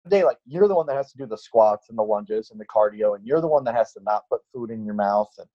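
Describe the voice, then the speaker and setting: A man's voice: lowish voice, expressive, quick pace. Late-20s male trainer, casual rambling podcast talk, muffled lo-fi sound. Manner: rambling, many fillers and restarts. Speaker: fitness trainer.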